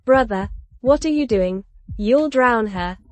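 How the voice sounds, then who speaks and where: A self-righteous young sister-in-law in a radio drama. Her voice is fairly high and indignant, scolding and demanding, recorded very dry. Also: lively intonation, slow, a little mellow, no echo. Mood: alarmed. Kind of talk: dubbed radio drama acting.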